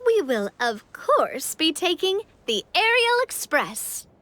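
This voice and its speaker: A woman's voice: very high voice, sing-song, takes it slowly, fairly bright tone, dry recording, heavily acted. A woman playing a cheerful, confident young adventurer guide in a video game, upbeat, playful and reassuring. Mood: playful, assured. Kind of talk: video game character acting.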